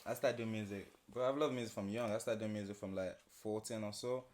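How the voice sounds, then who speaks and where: A man, telling stories in a relaxed way with slang as an interview guest. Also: lowish voice, fast. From Nigeria. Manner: relaxed storytelling with slang, laughs often. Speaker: music producer and interview guest. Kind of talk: casual interview chat.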